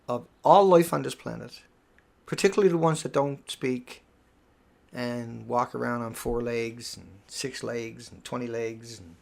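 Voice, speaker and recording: Man, gravelly, middle-aged, rambling live stream host, emphatic. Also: mid-range voice. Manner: rambling, emphatic, repeats words. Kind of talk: casual live stream monologue.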